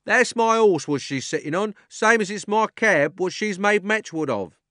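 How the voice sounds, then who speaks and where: A man voicing a blustering red-faced male London cab driver, loud and coaxing. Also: sits high, expressive, normal speed, dry recording, clean studio sound, pitched above the performer's own voice. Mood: indignant. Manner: loud, coaxing, drops and adds h's.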